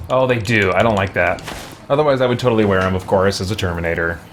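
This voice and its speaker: A man's voice: fairly low pitch, quick pace, a bit bright, a little hiss or hum. A man giving casual, conversational gameplay commentary, thinking aloud as he plays. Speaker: let's play host.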